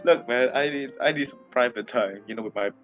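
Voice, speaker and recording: A man's voice: medium pitch, fairly quick, fairly bright tone, muffled recording, soft background music. A young man with a Malaysian English accent, co-hosting a casual podcast chat and laughing often. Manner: giggly, laughs often between lines. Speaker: podcast co-host.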